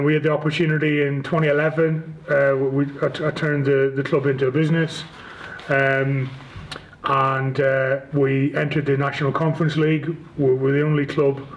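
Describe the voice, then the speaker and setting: A man's voice: mid-range voice, rough recording. A man in his forties with a Northern Irish accent, giving casual interview answers as a guest, with hesitant, stop-start phrasing. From Northern Ireland. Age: 40s. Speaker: interview guest, rugby league club chief executive.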